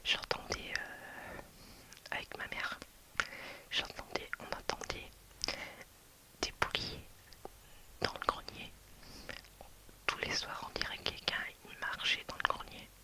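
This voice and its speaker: A woman whispering a personal story to her viewers as a video creator, hushed and hesitant, with many restarts and fillers. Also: takes it slowly, long pauses, poor audio.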